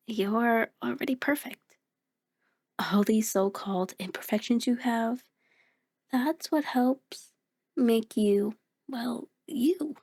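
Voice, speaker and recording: A woman voicing a kind, playful mirror reflection in an ASMR roleplay, speaking gently and encouragingly with hesitant pauses. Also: a little high. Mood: tender, reassuring. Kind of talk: ASMR roleplay, addressing the listener.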